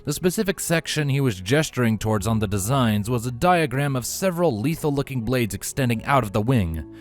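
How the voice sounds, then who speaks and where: A man's voice: medium pitch, lively intonation, fairly quick. A man reading a scripted story as an audiobook narrator. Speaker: audiobook narrator of fan fiction. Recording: music bed under the voice.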